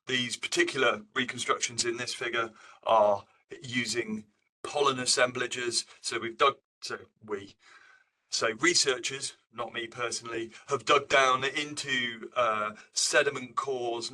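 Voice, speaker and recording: Male professor presenting research, very bright forceful voice, mid pitch, informal and halting, self-correcting as he explains. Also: rough recording. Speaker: professor giving a research seminar. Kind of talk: academic slide presentation, explanatory.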